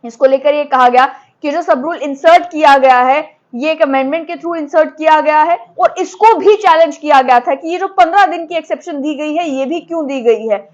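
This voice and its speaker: A woman's voice: high-pitched, normal speed, hardly any pauses. A woman news presenter. She delivers explanatory commentary, slipping English technical terms into her sentences. The audio is slightly dull and lo-fi.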